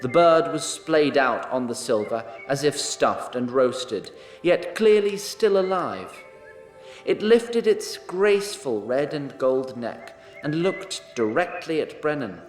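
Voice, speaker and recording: A man narrating a scripted fantasy story in a measured, dramatic manner with an occasional wry touch. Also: mid-range voice, lively intonation, reverberant, big-room sound, faint music behind.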